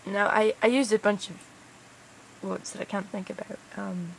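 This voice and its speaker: A woman's voice: mid-range voice, steady tone, normal speed, gravelly, a little hiss or hum, low-quality recording. A young woman from Canada rambles casually in a put-on British accent, sounding tired, with crude slang and a hesitant manner.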